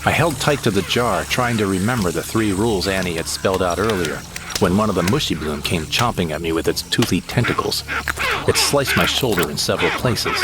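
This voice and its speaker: A man voicing a young sidekick who narrates his space adventure in a steady, descriptive first-person storybook style. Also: deep voice, a little fast, noisy background, natural voice. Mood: narrating. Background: dramatic score.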